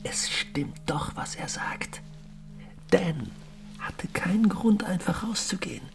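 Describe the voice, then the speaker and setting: An actor in a German radio drama playing a stubborn, brooding farmer and husband who talks in terse, blunt phrases. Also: male voice, sits high, takes it slowly, gravelly, in a whisper, soft background music, exaggerated voice. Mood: brooding, hushed. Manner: terse, blunt, hushed at times.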